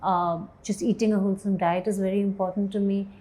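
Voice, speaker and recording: Thoughtful, mellow-voiced woman in her forties. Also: level tone, quick pace. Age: 40s. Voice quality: low voice, self-described as bass. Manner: thoughtful, hesitant, laughs easily. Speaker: actor and writer, interview guest. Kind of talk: candid interview conversation.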